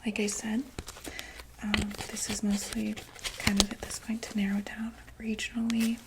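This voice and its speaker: A woman whispering softly and breathily in a gentle, reassuring ASMR medical roleplay, speaking close to the microphone. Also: on the high side, flat delivery, normal speed, very noisy. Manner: gentle, reassuring, hesitant check-up patter. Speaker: ASMR roleplayer playing a doctor for monsters.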